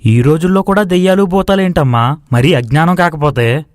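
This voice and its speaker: A man's voice: a little high, normal speed, dry recording, studio quality, lightly acted. A man voicing a bold, skeptical young village man, assertive and argumentative, in acted moral-story dialogue. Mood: dismissive.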